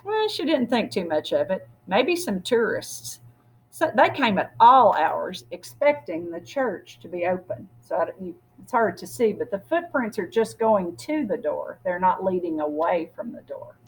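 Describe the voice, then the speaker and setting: A woman with a husky voice reading a storybook aloud expressively, as if to children. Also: medium pitch, moderate pace, rough recording. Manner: expressive, acts out dialogue. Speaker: story reader.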